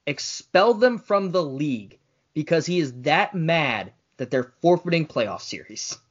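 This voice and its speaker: A man's voice: a little high, lively intonation, unhurried, muffled recording. A man co-hosting a casual sports podcast, chatty and wry as he relays news and teases his co-host.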